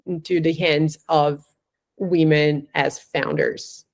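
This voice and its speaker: A woman's voice: low voice, fairly even intonation, slow, no echo. A woman interviewer asking questions and recapping in a conversational way.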